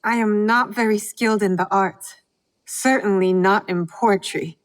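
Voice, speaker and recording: A woman's voice: medium pitch, fairly even intonation, a little slow, close to an everyday voice. An actress playing a guarded, earnest young female shinobi in a game cutscene, speaking in a reserved, formal and terse way. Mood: hesitant, attentive. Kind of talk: video game cutscene acting.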